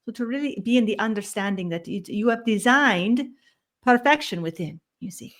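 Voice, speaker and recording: A woman channeling a spiritual collective in a slow, ceremonious way. She speaks as "we" and ends almost every phrase with "you see". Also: on the high side, expressive. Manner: speaks as "we", with slightly odd grammar.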